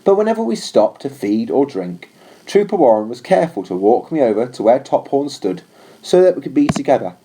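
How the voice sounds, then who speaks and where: Mellow, expressive male story narrator. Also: medium pitch, brisk, a little hiss or hum, poor audio. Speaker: audiobook reader.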